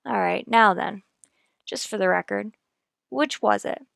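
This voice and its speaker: Woman playing cool female police detective, creaky but clear voice, deadpan, clipped needling questions, radio crime drama. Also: mid-range voice, measured pace, no echo, studio quality. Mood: pressing, cool. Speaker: voice actor in an audio police drama.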